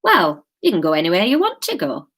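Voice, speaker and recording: A woman's voice: fairly low pitch, huge pitch swings, fairly quick, a bit bright, husky, exaggerated voice. A woman acts out an easygoing fisherman from a storybook, speaking with a Wigan accent. He is unfussy and straightforward, a plain-spoken man who states things simply. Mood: matter-of-fact, fond.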